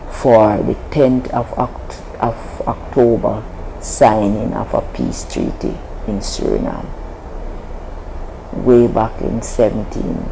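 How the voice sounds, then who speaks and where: A woman from Jamaica with a Jamaican accent, a guest telling a long story in an interview, slow and deliberate with frequent restarts. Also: a bass voice, vocal fry, background noise. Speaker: interview guest, Maroon leader.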